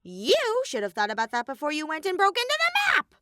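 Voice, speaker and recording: A woman's voice: high-pitched, wildly swooping pitch, a little fast, heavy background noise, voice pushed up. A woman voicing a mischievous, bossy male robot bear villain in an audiobook story, brash and snappy. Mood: bossy, irritated. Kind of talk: audiobook character voice.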